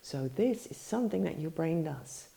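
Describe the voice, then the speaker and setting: A woman's voice: deep voice, animated, moderate pace, vocal fry, warm tone. A middle-aged woman with a stuffy nose from a cold, rambling and correcting herself often as she teaches on her podcast. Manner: rambling, many fillers and self-corrections. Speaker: podcast host, meditation teacher. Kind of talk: casual spoken teaching.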